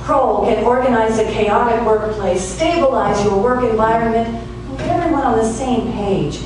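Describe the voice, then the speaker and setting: A woman's voice: a little high, normal speed. A woman announcing scripted sponsor spots for radio theater. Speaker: advertisement announcer. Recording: echoey stage, distant mic, noisy.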